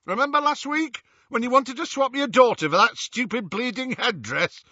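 A man voicing a brutal, sneering dark wizard in an audiobook, with a rough, menacing, mocking delivery. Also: on the high side, expressive, moderate pace, dry recording, lo-fi sound, pitched above the performer's own voice, full character voice. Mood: mocking, angry.

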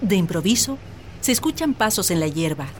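A woman's voice: fairly low pitch, big pitch swings, normal speed, slight background noise. A woman giving scripted, storybook narration as the narrator of a radio play.

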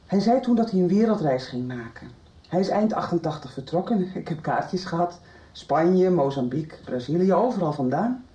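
A woman's voice: fairly low pitch, expressive, normal speed, dark tone, husky, highs cut off. An actress playing a young woman in a radio soap, telling things in a plain, matter-of-fact way. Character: young woman with a mother in Berlin. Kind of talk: 1990s radio drama acting.

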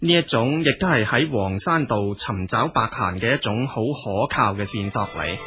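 A man's voice: medium pitch, lively intonation, moderate pace, bright, forceful tone, faint music behind. A man with a Cantonese accent narrating as a storyteller, in a colloquial spoken style.

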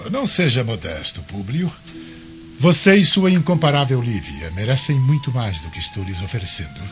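A pompous, pleasure-loving Roman governor, played by a man in a radio drama, smug and imperious. The mid-pitched voice swells and dips with relish, over music in a dull recording. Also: big pitch swings, moderate pace, lightly acted. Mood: effusive, suggestive.

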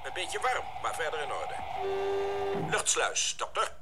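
Commanding spaceship leader, swooping intonation. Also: male voice, on the high side, huge pitch swings, very noisy. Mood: calm, businesslike. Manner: commanding, brisk orders. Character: composed male spaceship commander, decisive, urgent in crisis. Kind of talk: radio drama acting, science fiction serial.

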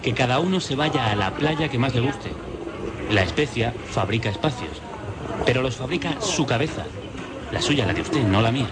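A man's voice: medium pitch, animated, normal speed, close to an everyday voice. An actor playing a patient, enigmatic man in a Spanish radio play, speaking with a Castilian accent. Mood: earnest, mysterious. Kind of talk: Spanish radio theatre acting. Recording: music behind the voice.

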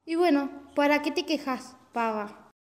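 High-voiced teasing schoolgirl played by a woman. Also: a little slow, noisy background. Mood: grumbling. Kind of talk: amateur school radio play.

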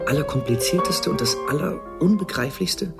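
A man's voice: a little high, animated, normal speed, creaky, over loud music. A man playing a charming, intellectual lover in a radio play, musing playfully in an articulate, intimate way.

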